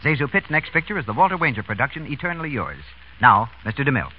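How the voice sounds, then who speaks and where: Polished American male radio pitchman, big swooping emphasis, mid-range voice, faint hiss on old broadcast. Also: sing-song, fast. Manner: polished, emphatic sales delivery. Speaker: radio announcer. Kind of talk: 1930s radio announcing and advertising. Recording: dull, faintly noisy vintage radio.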